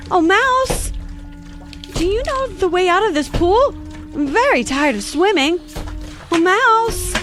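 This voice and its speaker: Earnest, chatty little girl, voiced by a woman; high and sing-song. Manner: chattery, earnest, easily flustered. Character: curious, polite little girl. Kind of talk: audio drama acting.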